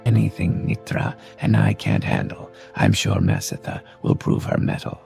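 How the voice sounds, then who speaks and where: Gravel-throated male party leader, played by an actor: low, authoritative, hugely varied intonation, fantasy audiobook. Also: sing-song, moderate pace, a little mellow, soft background music, heavily acted. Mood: confident, appraising. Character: commanding male adventurer leading a party of vampire hunters. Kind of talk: fully voiced fantasy audiobook dialogue.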